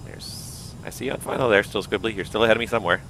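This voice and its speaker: A deep-voiced man talks casually through a flight, reading out checklists and thinking aloud as he goes. His voice is wearing thin, and a steady engine rumble runs under him. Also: fast, vocal fry. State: losing his voice. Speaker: flight simulator pilot. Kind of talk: casual cockpit commentary.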